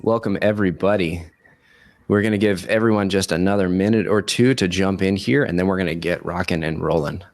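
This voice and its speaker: Deep-voiced casual man, raspy from a cold. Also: brisk, soft background music. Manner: informal, chummy address. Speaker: podcast host. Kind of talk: casual webinar hosting.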